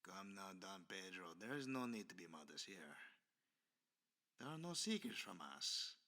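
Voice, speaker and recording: Man acting a suave, crisp-edged aristocratic villain. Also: deep voice, very animated, moderate pace, bright, forceful tone, full character voice. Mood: smooth, commanding. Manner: formal, florid, smoothly condescending speech. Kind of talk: ASMR roleplay audio drama acting.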